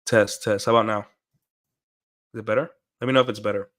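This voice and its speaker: A man's voice: on the low side, fairly even intonation, fast talker, fairly bright tone. Congested man rambling on a livestream. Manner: rambling, keeps asking "right?". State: congested from a cold. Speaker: streamer. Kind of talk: casual livestream monologue. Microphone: desk mic through an audio interface.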